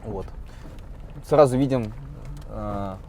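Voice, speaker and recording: A man's voice: fairly low pitch, slow, mellow. A man chatting casually in a travel vlog from a car, laughing often. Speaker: vlogger. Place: in a car outdoors. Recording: noisy car and road background.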